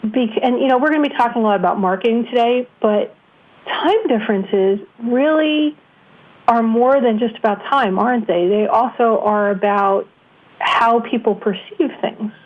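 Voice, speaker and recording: A woman's voice: fairly high pitch, normal speed. American woman hosting over a phone-like line. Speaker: interview show host. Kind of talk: conversational interview. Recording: thin phone-line sound.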